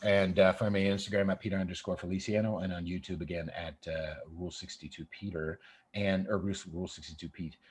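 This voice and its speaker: A man's voice: deep voice, steady tone, fast talker, breathy edge. A man hosting a casual podcast interview, chatty, often laughing and swearing. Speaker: podcast host.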